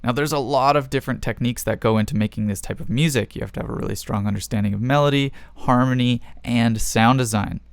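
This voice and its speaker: A man's voice: medium pitch, quick pace, vocal fry, hardly any pauses, no room sound. A man hosting a music production tutorial, explaining things step by step in a casual way.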